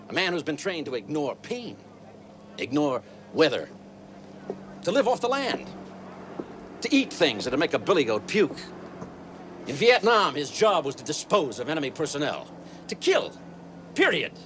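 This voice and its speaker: A man's voice: on the high side, fairly bright tone, slight background noise. An actor playing a grim male military officer, speaking in intense, clipped bursts of emphasis. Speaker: actor in a film clip. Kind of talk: dramatic film acting.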